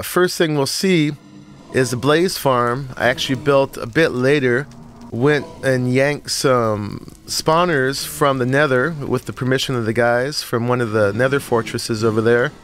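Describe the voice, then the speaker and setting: A man with a slightly froggy morning voice, narrating a relaxed, rambling tour of his game world with many "uh"s and short laughs. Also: mid-range voice, unhurried, a little hiss or hum. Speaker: Minecraft let's-play host. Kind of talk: casual gameplay tour narration.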